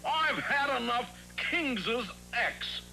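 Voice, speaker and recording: An indignant young cartoon frog, lively up and down delivery, bright, wry and whiny. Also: male voice, very high voice, drawn-out words, gravelly, faint music behind, heavily acted, rough recording. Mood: exasperated. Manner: wry, complaining. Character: grumbling young male frog, indignant, stubborn, put-upon. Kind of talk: animated cartoon fairy-tale acting.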